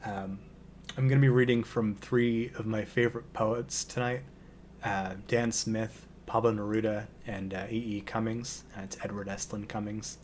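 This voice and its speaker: A man with a slightly hoarse voice, hosting a relaxed poetry reading and hesitating often with ums and restarts. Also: fairly low pitch, normal speed, a little hiss or hum. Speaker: poetry channel host. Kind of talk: casual intro and poetry reading.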